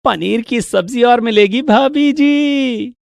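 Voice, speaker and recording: A woman's voice: fairly high pitch, slow pace, gravelly, darkish tone, dry recording, clean studio sound, pitched above the performer's own voice. A woman voicing emphatic men of the household in a cartoon family drama. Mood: delighted. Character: men of the household, husbands and hungry guest.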